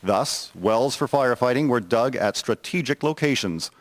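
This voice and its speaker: A man's voice: mid-range voice, lively intonation, moderate pace. A man reading scripted narration as the storyteller of an audio drama. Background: music.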